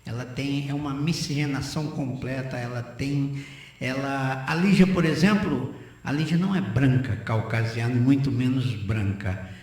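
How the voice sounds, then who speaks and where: A man's voice: medium pitch, normal speed, a little mellow. An older man, giving his opinions in conversational radio talk and repeating phrases for emphasis. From Northeast Brazil. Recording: very echoey room.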